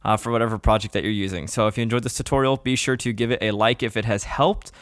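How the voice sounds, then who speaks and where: A man narrating an instructional software tutorial in a casual, plain-spoken, step-by-step way. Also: fairly low pitch, steady tone, fast talker, no room sound, studio quality. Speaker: tutorial host. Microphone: close voice-over microphone.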